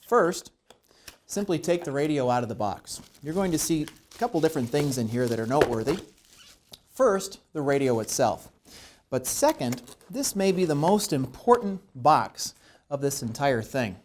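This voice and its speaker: A man's voice: fairly high pitch, sing-song, normal speed, darkish tone, slight background noise. An American man presenting an instructional tutorial, explaining each step methodically and stressing the key points.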